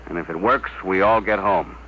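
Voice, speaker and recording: An actor playing an earnest, decisive rocket crew leader in a 1950s radio drama. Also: male voice, low voice, normal speed, lightly acted. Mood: urgent instruction. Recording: noisy, muffled old radio.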